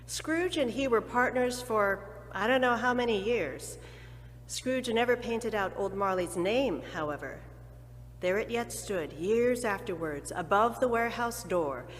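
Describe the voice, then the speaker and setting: A woman narrating a dramatic reading of a classic story with expressive, theatrical pacing. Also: medium pitch, big-room sound, slight background noise.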